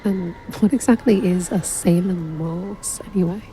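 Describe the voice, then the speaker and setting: A woman acting in an audio drama as an exacting, frank royal calligrapher with a measured, dry manner. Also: lowish voice, dark tone, a little hiss or hum, natural voice. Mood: resigned. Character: exacting, wry female royal calligrapher, deferential but frank.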